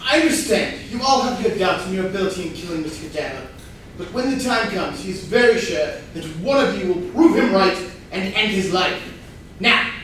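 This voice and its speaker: A man's voice: sits high, a bit bright. A man playing a formal, pompous servant in a comedy stage reading, speaking with ceremonious precision. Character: formal, pompous servant announcing a murder game. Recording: very echoey room, distant mic, faint noise.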